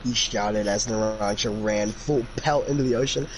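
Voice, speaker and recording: A man's voice: on the low side, normal speed, rough recording. Informal man over background noise. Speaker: fanfiction reader and commentator. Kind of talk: casual amateur read-aloud with chatty commentary.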